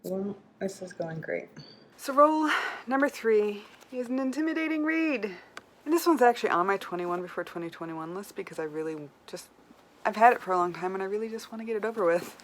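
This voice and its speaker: A woman chatting casually to viewers as a book vlogger, with animated, self-deprecating asides. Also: medium pitch, brisk. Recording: clear background room noise.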